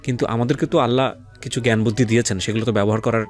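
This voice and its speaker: Fast-talking Bangladeshi male radio host. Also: medium pitch, steady tone, faint music behind. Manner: explanatory, mixes in English words. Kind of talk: interviewing and commenting to listeners.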